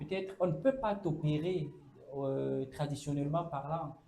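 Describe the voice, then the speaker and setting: A man's voice: a little high, normal speed, creaky, warm tone, a little hiss or hum. A man, chatting as a guest on a campus show, hesitant, with many fillers. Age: 30s. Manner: hesitant, many fillers, acts out quoted speech. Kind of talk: casual debate on a campus show. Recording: echoey room.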